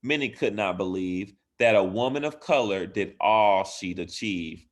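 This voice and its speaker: Male school administrator, low voice, slow deliberate read-aloud, animated storyteller. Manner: animated storytelling with big rises in emphasis. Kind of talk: reading a picture book aloud to children.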